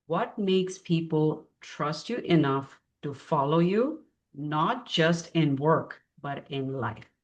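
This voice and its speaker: A woman's voice: deep voice, animated, slow pace, highs cut off. Admiring woman hosting a podcast. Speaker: podcast host. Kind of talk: podcast interview intro.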